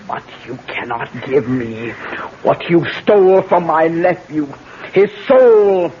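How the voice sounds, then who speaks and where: Slow, solemn older sorceress. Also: female voice, on the low side, lively intonation, slight background noise. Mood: menacing, vengeful. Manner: slow, incantatory, solemn delivery. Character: older Obeah sorceress, vengeful, commanding, solemn aunt. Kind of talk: 1970s radio drama acting. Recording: dull vintage radio recording with music.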